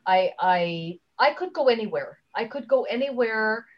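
A woman giving a conversational interview as a guest speaker, chatty and halting, often leaving sentences unfinished, on slightly dull audio. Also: on the high side, lively intonation. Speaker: guest, LinkedIn trainer and speaker.

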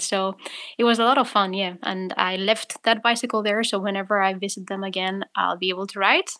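A woman's voice: medium pitch, fairly bright tone. Chatty woman from Spain. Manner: chatty, storytelling with fillers and laughs. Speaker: podcast guest. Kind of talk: casual interview chat.

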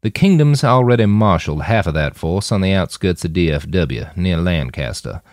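A man's voice: low-pitched, lively intonation, moderate pace, a little mellow, no room sound, clean studio sound. A man voicing a brash, blunt, foul-mouthed mercenary leader in an audiobook story, with a taunting, cocky manner.

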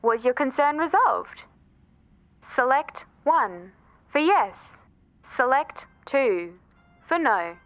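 A woman's voice: high-pitched, animated, takes it slowly, pauses often, dry recording, faint music behind. Muffled, lilting corporate hotline woman. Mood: automated cheer. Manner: formulaic, upbeat, impersonal. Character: scripted, falsely cheerful female corporate hotline operator. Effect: telephone line filter. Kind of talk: scripted customer-service recording in audio drama. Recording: dull, band-limited phone line.

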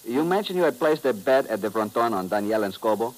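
An actor playing a courteous, formal Cuban police lieutenant with Spanish-accented English in a 1950s radio drama. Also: male voice, medium pitch, animated, fast talker, close to an everyday voice, poor audio. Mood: courteous, dry.

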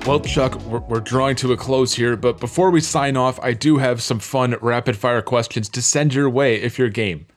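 A man's voice: medium pitch, moderate pace, hardly any pauses, over loud music. A man hosting a sports podcast, putting prepared interview questions to his guest.

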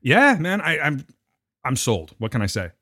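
A man's voice: medium pitch, wildly swooping pitch, normal speed, bright, forceful tone, slightly rough, no room sound, studio quality. An American man co-hosting a podcast in casual banter, with a joking, teasing manner and some swearing. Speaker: podcast co-host.

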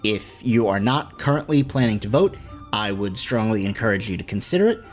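A man's voice: fairly low pitch, expressive, a little fast, soft background music. A man hosting a podcast, reading sponsor copy and announcements in a casual, direct way. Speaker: podcast host. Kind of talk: casual scripted ad read and announcement.

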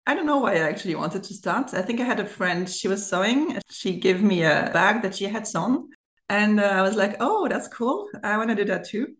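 A woman's voice: medium pitch, fairly quick. A woman in her thirties with a German accent, talking in a chatty, informal way as an interview guest. Her voice sits mid-range and comes through with a noticeable room echo. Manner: chatty, giggles often as she talks. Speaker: podcast guest. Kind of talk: casual chat. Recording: roomy, echoey remote recording.

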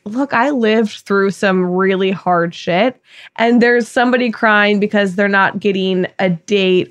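A woman's voice: medium pitch, no room sound. A woman co-hosting a podcast, asking long, winding, thoughtful questions in a casual way. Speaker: podcast co-host. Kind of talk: casual interview chat.

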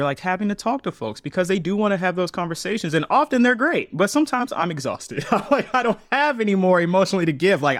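A man who is a stage storyteller, talking casually in an interview, animated and often laughing as he acts out his own remarks. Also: on the high side, no echo, studio quality. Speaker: storyteller and podcaster, guest.

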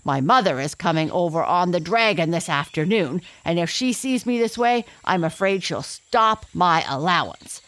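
A woman voicing a vain, hot-tempered young male lion who yells and blusters. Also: lowish voice, expressive, moderate pace, hardly any pauses, no room sound. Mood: fretful. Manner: yelling, blustering.

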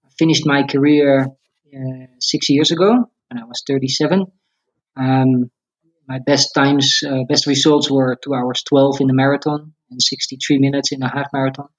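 A man's voice: mid-range voice, flat delivery, normal speed, rough recording. A man in his forties from the Netherlands with a Dutch accent, a coach chatting casually as an interview guest. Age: 40s.

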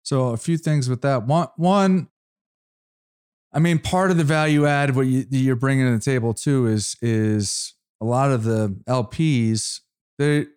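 A man's voice: medium pitch, a little mellow, clear, resonant tone. A man hosting an interview, stopping and restarting as he frames his questions and affirms the guest's points. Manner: halting, restarts often, affirming. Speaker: podcast host. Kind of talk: casual interview.

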